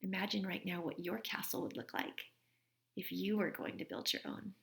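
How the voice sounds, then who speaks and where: A woman reading a children's storybook aloud in an expressive manner, like a teacher. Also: lowish voice, normal speed, vocal fry, reverberant, slight background noise.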